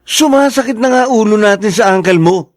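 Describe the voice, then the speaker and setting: An actor playing a domineering, resentful husband in a radio drama, stern and demanding. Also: male voice, sits high, a little fast, no room sound, studio quality, close to an everyday voice.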